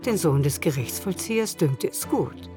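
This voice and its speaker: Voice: mid-range voice, expressive, normal speed. Narrator for a radio drama, literary storytelling, music playing behind.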